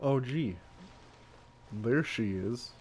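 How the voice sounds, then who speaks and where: A man streaming a game, reading dialogue aloud and adding casual, deadpan remarks. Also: mid-range voice, drawn-out words, slight background noise, rough recording.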